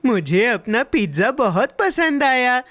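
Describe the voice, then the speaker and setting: A woman voicing an eager, excitable young boy who loves food, with exaggerated expression, in a children's cartoon dub. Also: a little high, unhurried, heavily acted. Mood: proud, excited.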